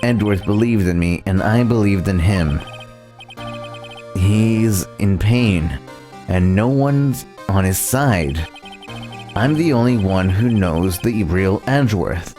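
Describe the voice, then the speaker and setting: A man voicing an earnest, loyal young male defense attorney from a video game, reading a little haltingly. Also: fairly low pitch, expressive, mellow. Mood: earnest, determined. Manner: halting, stumbling over lines. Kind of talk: reading visual novel game dialogue aloud. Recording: music playing behind the voice.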